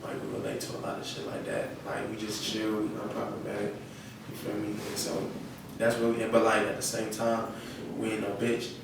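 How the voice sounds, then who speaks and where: Low gravelly man, echoey room. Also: brisk. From North Carolina, United States. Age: young adult. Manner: slangy, often checks with "you feel me". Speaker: rapper being interviewed. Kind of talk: casual podcast interview. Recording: echoey room, distant mic, noisy.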